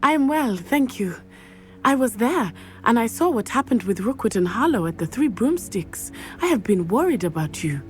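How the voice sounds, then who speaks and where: An actress playing an earnest, determined teenage schoolgirl witch in a video game, speaking in formal, measured phrases. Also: on the high side, sing-song, faint music behind. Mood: warm, concerned. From Matabeleland, southern Africa. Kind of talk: video game character acting.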